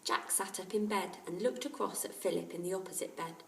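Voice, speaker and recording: A woman's voice: fairly low pitch, brisk, gravelly. A woman teacher narrating a children's story aloud. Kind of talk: children's audiobook-style narration. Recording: roomy, distant microphone.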